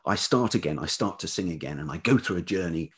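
A man with a low, slightly rough-edged voice talks as a guest in a casual, reflective interview. He is thoughtful and halting, often restarting as he searches for the right word. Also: animated. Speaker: interview guest, writer and musician.

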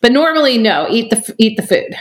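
A woman's voice: on the high side, very animated, quick pace. A woman who writes for TV, chatting as a podcast guest. She talks in a dry, self-mocking and chatty way. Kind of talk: casual chat.

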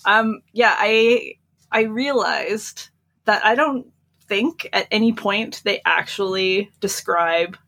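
Chatty, giggly woman with a husky edge. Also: medium pitch, slow, a bit bright. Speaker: book podcast co-host. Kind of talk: casual book chat, recapping plot.

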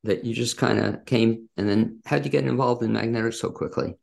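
A man's voice: medium pitch, steady tone, a little fast, creaky, a little mellow. A man, hosting an interview in a casual, conversational style. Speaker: interview host.

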